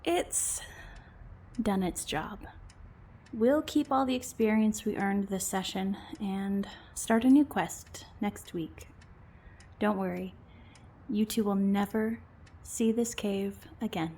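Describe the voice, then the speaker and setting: A woman acting an earnest, wounded female game master in an audio drama, speaking with deliberate, emotive delivery. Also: medium pitch, dark tone, breathy edge. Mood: subdued, resolute. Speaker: voice actor in an amateur audio drama.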